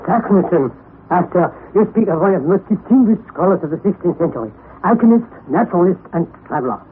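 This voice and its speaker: Casting: a polite, formal professor, high voice, tinny vintage broadcast. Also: male voice, expressive, fast. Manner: formal, courteous, hesitant fillers. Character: courteous formal male Icelandic scholar. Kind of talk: 1960s radio drama acting. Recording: phone-like narrow 1960s radio broadcast with faint hiss.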